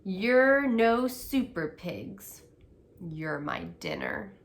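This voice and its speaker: A woman's voice: on the low side, huge pitch swings, very slow, heavily acted. A woman voicing a cunning, boastful, hungry big bad wolf from a children's storybook, sneering and growling as it gloats. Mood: gloating.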